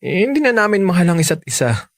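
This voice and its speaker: A Filipino man acting in a radio romance drama as a smooth-talking, unfaithful married man, earnest and persuasive. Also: high voice, sing-song, quick pace, dry recording. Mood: earnest pleading. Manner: coaxing, earnest.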